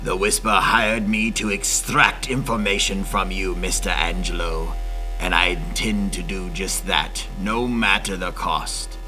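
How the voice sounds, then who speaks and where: A man's voice: low voice, fairly even intonation, unhurried, sharp tone, faint music behind, exaggerated voice, low-quality recording. A man playing a sinister, menacing doctor villain with mock-courteous, theatrical delivery in an old-time radio drama. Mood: gloating menace.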